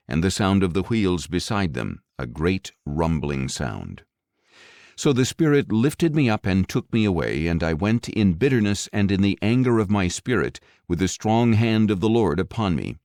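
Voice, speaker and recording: A man narrating scripture for an audiobook in a solemn, measured, dramatic way. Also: low-pitched, darkish tone, dry recording, clean studio sound.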